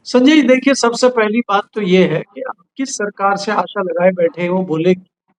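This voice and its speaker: A man's voice: high voice, moderate pace, poor audio. A man, a political editor, answering in an interview in a critical, earnest way, on a remote line.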